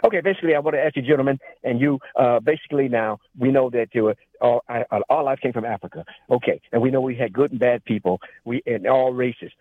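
A man calling in with an unscripted question, speaking in a rambling, halting way over a phone line. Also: mid-range voice. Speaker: caller. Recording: thin, band-limited phone line.

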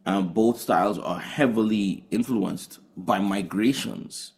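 Trinidadian guy talking about his music in an interview, deep gravelly voice, keeps restarting sentences. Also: slow, darkish tone. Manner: earnest, restarts phrases, builds explanations. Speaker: touring jazz musician, guest. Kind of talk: interview answers.

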